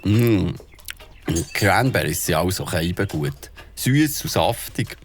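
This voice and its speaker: A man's voice: deep voice, animated, slow pace, slight background noise. An actor playing a kind but worried father, speaking earnestly with a Swiss German accent. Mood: calm. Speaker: father character. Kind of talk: children's audio drama acting.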